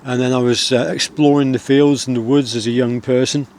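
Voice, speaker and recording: Soft-spoken Wiltshire countryman outdoors. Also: mid-range voice, little pitch movement, slight background noise. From England. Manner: soft-spoken, rambling, with many pauses and fillers. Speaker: outdoor vlogger. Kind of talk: reflective outdoor vlog.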